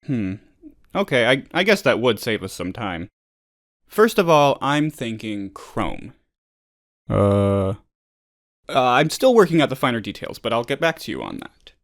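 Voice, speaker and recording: A man's voice: mid-range voice, big pitch swings, measured pace. Casting: an anxious working-guy-turned-plant-manager for a game; earnest, stumbling, wordy, easily flustered. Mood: nervous, scheming. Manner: hesitant, rambling, earnest, nervous. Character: male anxious, bumbling, downtrodden plant boss and ex-worker. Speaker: factory manager character. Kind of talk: video game character voice lines.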